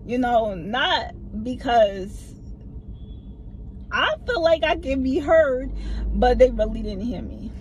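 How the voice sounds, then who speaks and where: Middle-aged woman vlogger, casual storytime from her car seat, low voice, expressive. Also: slow pace, dull audio, slight background noise. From family from the American South. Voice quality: low voice that people find hard to hear. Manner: animated, talks with her hands. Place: parked car, inside. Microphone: phone camera mounted in front of her.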